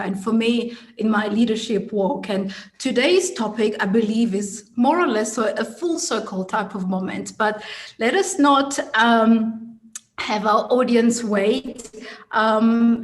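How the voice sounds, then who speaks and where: Host, a woman with a slightly high voice. Measured and formal when introducing topic and guest. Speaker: show host. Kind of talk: hosting an interview.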